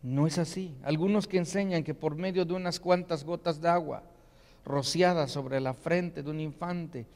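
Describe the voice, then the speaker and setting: A man delivers an earnest sermon, and his voice sits fairly high. He keeps asking rhetorical questions as he preaches. His voice rings out with the long reverb of a large church hall. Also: moderate pace, darkish tone. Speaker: pastor. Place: church sanctuary.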